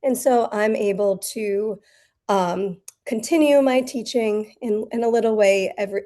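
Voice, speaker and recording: An American woman with a raspy, hoarse voice from the flu, teaching a bookkeeping webinar in a friendly, chatty way with many fillers. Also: mid-range voice, warm tone. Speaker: bookkeeping instructor. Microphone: computer mic on a video call.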